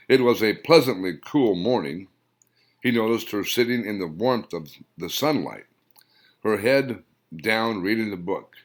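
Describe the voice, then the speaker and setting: Male author-narrator, deep voice with lively intonation, slow measured audiobook read. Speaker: author narrating his own novel.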